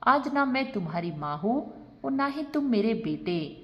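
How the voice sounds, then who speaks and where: A woman's voice: fairly high pitch, sing-song, a little slow, lo-fi sound. A woman voicing a wounded, unforgiving elderly mother, stern and bitter, in a moral story. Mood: bitter, hurt. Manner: bitter rhetorical questions, stern.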